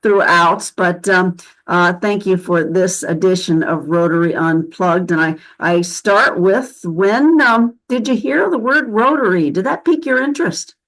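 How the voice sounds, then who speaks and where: A woman hosting a conversational interview, speaking in halting, fragmented phrases. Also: fairly low pitch, a bit bright, poor audio. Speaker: interview host.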